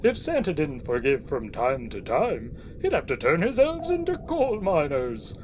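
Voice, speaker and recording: An actor playing a kindly old Santa Claus with dry wit, gently lecturing in a comic audio play. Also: male voice, on the high side, a little slow, noisy background. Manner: avuncular, gently lecturing, deadpan.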